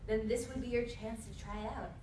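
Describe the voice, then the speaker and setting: A woman plays an earnest, stubborn young servant in a radio play. Her voice is fairly high, heard a little away from the mic in an echoey room with faint noise. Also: fairly even intonation, fairly quick, dark tone, far from the mic, lightly acted. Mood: earnest pleading. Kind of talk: staged radio theatre dialogue. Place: indoor convention performance before microphones.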